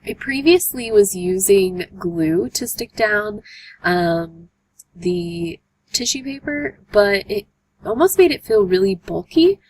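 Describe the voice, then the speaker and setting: A young woman with a hoarse voice from a cold, hosting a casual art tutorial voice-over with lots of "um" fillers. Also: medium pitch, expressive, takes it slowly, slight background noise, rough recording. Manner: chatty, many "um" fillers. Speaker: art journaling tutorial host.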